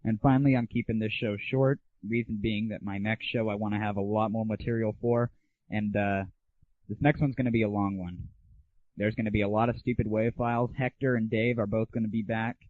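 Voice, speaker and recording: A man's voice: lowish voice, steady tone, a little fast, dry recording. A man hosting a gaming audio show, giving casual, slangy, opinionated and irreverent reviews. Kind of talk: casual solo rant and game review. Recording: narrow, phone-like 1990s internet audio.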